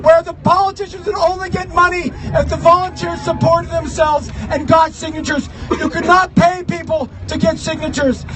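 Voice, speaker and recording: A man giving an impassioned, declamatory political speech as an activist, shouting to a crowd outdoors. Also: extremely high pitch, rough recording. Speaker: activist rally speaker. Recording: outdoor live recording with crowd and music.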